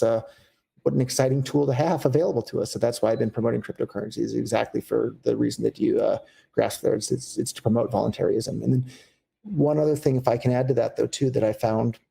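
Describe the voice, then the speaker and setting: Gravelly American man in a relaxed interview. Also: mid-range voice. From United States. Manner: candid, rambling, with fillers and restarts. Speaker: guest. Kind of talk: casual interview talk.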